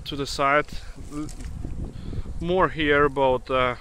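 A non-native English-speaking man, a beekeeper, demonstrating outdoors in a grassy field and talking haltingly with many fillers. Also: on the high side, steady tone, bright, forceful tone, a little hiss or hum. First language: not English. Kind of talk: hands-on demonstration. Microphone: handheld camera mic. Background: bees buzzing.

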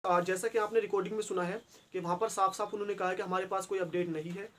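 A young man hosting an exam-news channel. He explains earnestly to camera from a small indoor room, repeating himself with filler pauses. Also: high voice, steady tone, slight background noise. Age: young adult.

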